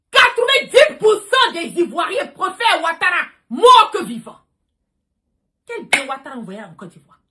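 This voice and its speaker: High, forceful woman ranting. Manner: emphatic, repetitive, confrontational. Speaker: livestream host. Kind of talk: impassioned political rant to followers.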